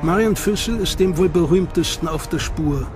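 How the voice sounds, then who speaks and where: A man narrating a documentary in a measured, dramatic way. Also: high-pitched. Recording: narration over loud music.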